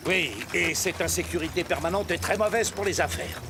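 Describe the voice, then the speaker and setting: High, smug cartoon schemer. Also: male voice, fairly quick, noisy background. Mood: smug, scheming. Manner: suave, condescending. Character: smug, scheming male businessman, condescending and greedy. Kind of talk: animated cartoon voice acting.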